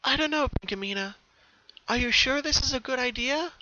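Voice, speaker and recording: Young sister pony, a male reader's put-on voice: high, soft-hearted, hesitant, questioning, for story narration. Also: brisk, dull audio, full character voice. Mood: unsure. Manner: tentative, unsure questioning.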